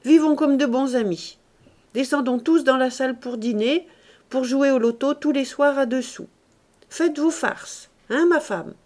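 A woman's voice: high-pitched, a little slow, a little mellow. A woman voicing a miserly old father in an audiobook, blustering and coaxing in short exclamations. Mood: cajoling.

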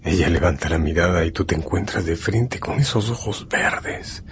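A man narrating a scripted radio drama in a measured, solemn storytelling manner. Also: sits low, background noise.

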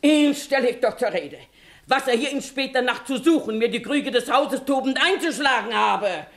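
A woman's voice: high voice, moderate pace. An actress playing an indignant, accusing village mother, declaiming dramatically and emphatically in a classic German radio stage comedy.

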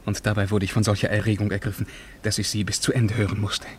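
An actor as a pompous, low-voiced scholar from the future. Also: male voice, little pitch movement, quick pace, a little hiss or hum, natural voice. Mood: apologetic, excited. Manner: florid, pompous, ceremonious. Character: slender bespectacled scholar from the far future, grandiloquent, self-important. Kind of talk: German radio play acting.

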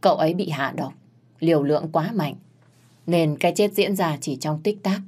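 A woman's voice: low-pitched, darkish tone, husky. A woman reading a grave old village doctor. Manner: grave, measured. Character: sober older male physician.

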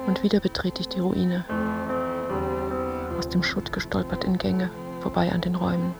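A woman's voice: lowish voice, completely flat delivery, normal speed, gravelly, loud music behind the voice. A woman playing a melancholy, dreamy, independent young woman in an introspective, poetic radio play monologue. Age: young adult.

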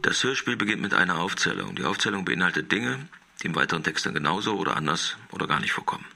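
Dry radio play narrator giving directions, deep voice, really crisp bright edge, lots of fry. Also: male voice, sing-song, quick pace, faint music behind, exaggerated voice. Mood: matter-of-fact, instructing. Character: dry male voice reading out the script's stage directions.

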